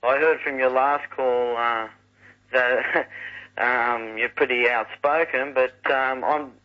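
A male caller on talkback radio, speaking in a hesitant, halting way. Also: mid-range voice, steady tone. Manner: hesitant, halting, full of ums. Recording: dull lo-fi archival radio recording.

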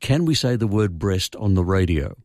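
An older Australian man with a lowish voice talks at a studio microphone. He explains word origins at length, confident and opinionated, with a wry streak. The sound is dry and close. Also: lively intonation, moderate pace, a little mellow, no room sound. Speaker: language expert guest. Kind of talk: radio phone-in explanation.

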